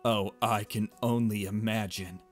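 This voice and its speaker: A man's voice: on the low side, fairly even intonation, unhurried, faint music behind, natural voice. A man voicing a polite, chirpy creature headmistress with a prim, formal manner in a visual novel game. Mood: cheerful, welcoming.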